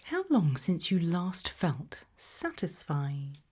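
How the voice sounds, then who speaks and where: A British woman whispering an ASMR roleplay as a creepy, probing examiner, asking questions slowly and deliberately with quiet playfulness. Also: fairly low pitch, sing-song, slightly rough. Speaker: ASMR roleplayer playing an interviewer.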